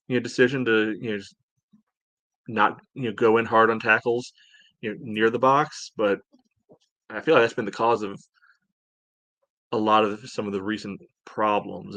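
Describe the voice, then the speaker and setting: A man's voice: lowish voice, a bit bright, dry recording. A man co-hosting a podcast, discussing soccer casually and tentatively, often padding his points with filler phrases. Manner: hedging, conversational, frequent "you know". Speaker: podcast co-host, soccer fan. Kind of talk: casual sports analysis chat.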